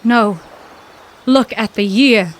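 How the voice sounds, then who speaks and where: An actress playing a bold, plucky scientist's daughter in an old-time radio adventure, spirited and brisk. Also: female voice, a little high, noisy background. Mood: amazed.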